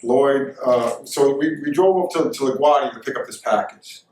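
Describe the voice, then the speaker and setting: A man's voice: medium pitch, moderate pace, a little mellow, slight background noise. Echoey middle-aged American man telling stories. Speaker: storyteller. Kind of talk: casual first-person anecdote telling. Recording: echoey room, distant microphone.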